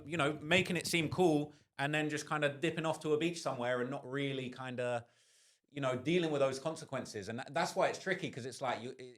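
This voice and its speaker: Fast-talking British man. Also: mid-range voice, fairly bright tone. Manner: earnest, slangy. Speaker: podcast interviewer. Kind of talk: casual podcast conversation.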